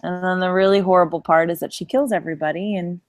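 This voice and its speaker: A woman's voice: on the low side, fairly even intonation, quick pace, dry recording, studio quality. A woman co-hosting a podcast in casual, conversational chat. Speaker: podcast co-host.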